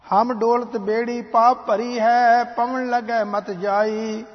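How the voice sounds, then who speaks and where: A man singing devotional scripture in a slow, drawn-out sung recitation. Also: very high-pitched, flat delivery. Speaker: hymn singer. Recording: dull lo-fi recording with long reverb.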